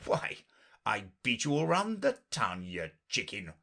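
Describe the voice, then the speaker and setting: A man acts out a great storybook lion, weary and short-tempered. The beast growls and drawls sleepily, at a slow pace with swooping pitch. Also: wildly swooping pitch, fairly bright tone, heavily acted. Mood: angry. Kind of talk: storybook character reading.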